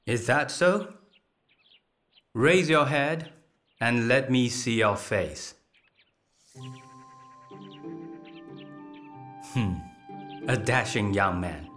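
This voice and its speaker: An actor playing a calm, dignified emperor in a radio drama, speaking with measured authority. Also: male voice, medium pitch. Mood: imperious, probing. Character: emperor, calm, dignified, commanding patron of painters.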